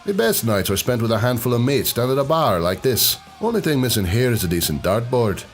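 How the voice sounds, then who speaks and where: A man playing a gruff, hard-drinking Irishman in a fan audio drama, blunt and colloquial. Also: mid-range voice, sing-song, a little fast, soft background music, natural voice. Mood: wry.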